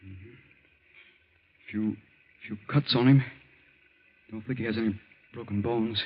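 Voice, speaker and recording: Husky, earnest young doctor. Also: male voice, on the low side, steady tone, measured pace. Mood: concerned, clinical. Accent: American. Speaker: radio drama actor. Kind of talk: old-time American radio drama acting. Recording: muffled vintage radio recording.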